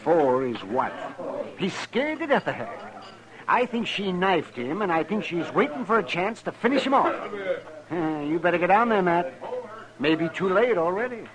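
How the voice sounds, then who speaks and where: An actor playing a fussy, excitable older American doctor in a 1950s radio western, with a folksy, hesitant way of talking. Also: male voice, high voice, moderate pace, poor audio. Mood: worried, urgent. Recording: old radio recording with crowd din.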